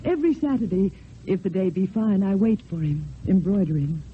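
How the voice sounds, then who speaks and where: Through a thin, slightly noisy old radio recording comes a grieving widow, played by an actress. She is devoted and formal, with measured theatrical diction from the radio stage. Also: medium pitch, big pitch swings, narrow, tinny audio, natural voice. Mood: composed, fond. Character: Roxanne, grieving devoted French widow living in convent.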